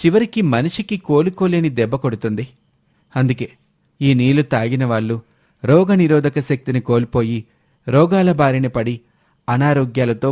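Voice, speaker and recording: A man voicing a serious lab technician who explains danger in a grave, measured manner for a moral story. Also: mid-range voice, darkish tone, close to an everyday voice.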